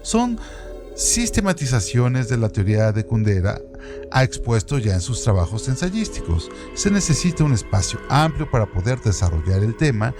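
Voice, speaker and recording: A man co-host giving a measured, deliberate scripted reading. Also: mid-range voice, very animated. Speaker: co-host reading trivia segment. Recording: music playing underneath. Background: background music.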